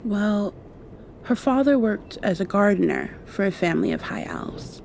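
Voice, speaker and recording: A woman playing a gentle, affectionate young vampire in an intimate ASMR roleplay, speaking softly with thoughtful pauses. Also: mid-range voice, sing-song, creaky, slight background noise. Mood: confiding, wry. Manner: soft, tender, unhurried, thoughtful pauses. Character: gentle, affectionate young female vampire confiding in her girlfriend.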